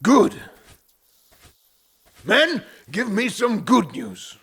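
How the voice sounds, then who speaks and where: Gravelly, swooping Old West sheriff. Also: male voice, high voice, big pitch swings, very slow, fairly bright tone, full character voice. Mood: tense, commanding. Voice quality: raspy, gravelly. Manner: barking orders, exasperated. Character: gruff, weary Old West sheriff commanding a gunfight. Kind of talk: western audio drama acting.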